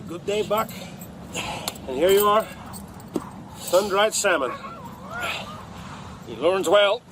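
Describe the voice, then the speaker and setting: An actor playing a brisk, hard-driving dog-sled driver in a radio drama, speaking in short, commanding phrases. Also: male voice, high-pitched, expressive. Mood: amused, appraising. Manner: barking short commands and calling out names. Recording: loud outdoor-like background noise.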